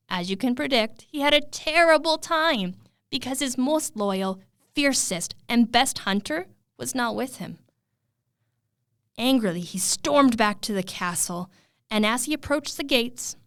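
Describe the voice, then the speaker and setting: Expressive woman storyteller, dry close sound. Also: fairly high pitch, clean tone, no echo. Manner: dramatic, expressive, with suspenseful pauses. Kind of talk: animated oral retelling of a fairy tale.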